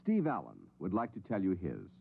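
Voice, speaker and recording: A man's voice: deep voice, huge pitch swings, fairly quick, vocal fry, slight background noise. A man reading a scripted 1950s public service announcement as a radio announcer. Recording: thin, phone-like old radio recording.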